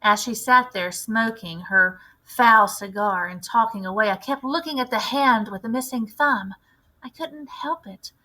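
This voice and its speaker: A woman's voice: on the high side, a little slow, pushed and bright, low-quality recording. A woman narrating a children's novel expressively, as a teacher reading aloud.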